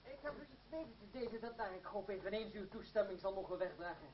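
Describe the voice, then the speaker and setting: A scheming, flowery stage rogue in a radio play, pitched fairly high. The sound is echoey and muffled. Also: male voice, lively intonation, quick pace. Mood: flowery, self-assured. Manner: theatrical, flowery, florid. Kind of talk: radio drama acting. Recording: muffled, echoey old radio play recording, crowd noise.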